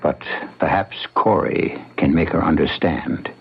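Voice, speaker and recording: A man's voice: very deep voice, a little slow, vocal fry, breathy edge. An older American man hosting a radio mystery, narrating from a script in a theatrical, wry way. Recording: muffled radio broadcast with loud music. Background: loud dramatic music.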